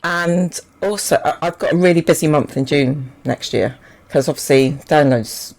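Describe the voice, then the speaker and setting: A British woman with a soft voice co-hosting a casual podcast, hesitant and full of ums. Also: low voice, moderate pace, creaky. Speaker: podcast co-host. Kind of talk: casual chat.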